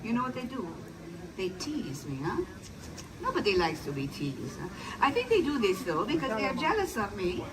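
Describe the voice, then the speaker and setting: A woman's voice: mid-range voice, wildly swooping pitch, normal speed. A woman performing as a good-natured old Italian witch, talking to a crowd with a put-on Italian accent and coaxing, playful manner, calling children closer. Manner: playful, coaxing, scolds her broom. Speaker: costumed live performer. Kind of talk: live character storytelling to a crowd. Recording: distant mic, noisy outdoor crowd.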